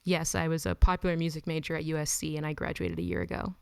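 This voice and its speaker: Brief: woman in her 20s from Los Angeles, smooth lowish voice, chatty, dry close sound. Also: flat delivery, quick pace, a little mellow, no echo. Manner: chatty, frequent "like" and "um", laughs between thoughts. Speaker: musician guest. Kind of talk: casual interview chat.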